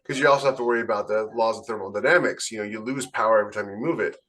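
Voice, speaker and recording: A man's voice: lowish voice, very fast, a bit bright, a little hiss or hum. A man co-hosting a casual podcast discussion, explaining things with enthusiasm as a writer. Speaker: podcast co-host and science fiction writer.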